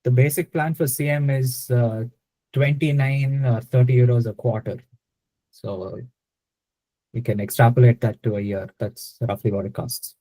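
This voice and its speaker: A man's voice: mid-range voice, steady tone, normal speed, mellow, low-quality recording. A young man answering a question casually and relaxedly on a webinar call.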